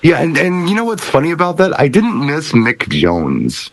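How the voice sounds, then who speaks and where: A man's voice: a little high, wildly swooping pitch, moderate pace, a little hiss or hum. A middle-aged man chatting casually and wryly about music as a panelist on a talk show.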